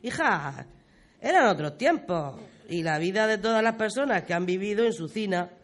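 A performer playing a talkative, fond old grandmother, reminiscing in folksy, expressive style in a community radio play. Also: medium pitch, normal speed, natural voice. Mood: nostalgic, fond. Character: talkative, fond old village grandmother telling local history.

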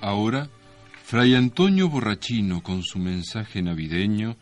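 A man's voice: fairly low pitch, measured pace, faint music behind. A man with an Argentine accent bantering casually as a radio co-host.

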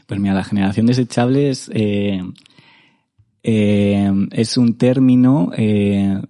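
Hombre joven madrileño, voz grave y oscura. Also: male voice, on the low side, steady tone, slow, dark tone. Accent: Madrid Spanish. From Spain. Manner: hesitant, many fillers and restarts. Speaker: guest photographer. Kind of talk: casual interview chat.

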